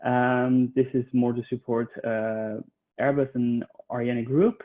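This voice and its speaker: A man's voice: medium pitch, level tone, slow. A man from Switzerland, a recruiter, giving an online conference presentation from his home office, hesitant with many fillers and restarts. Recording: tinny phone-like audio.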